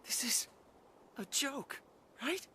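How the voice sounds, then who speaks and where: A fragile young male alien from a video game. His voice is very high and bright, swinging widely as he speaks with halting, earnest emotion. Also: animated, a little hiss or hum, exaggerated voice. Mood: shocked, pleading. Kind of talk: video game cutscene acting. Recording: game music behind the voice.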